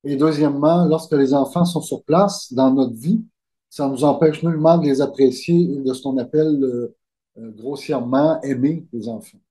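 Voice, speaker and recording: A man from Quebec with a Québécois accent, speaking as a guest author in a conversational interview over a video call, in a slow, measured, deliberate way. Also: mid-range voice, dark tone, poor audio. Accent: Québécois French. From Quebec, Canada.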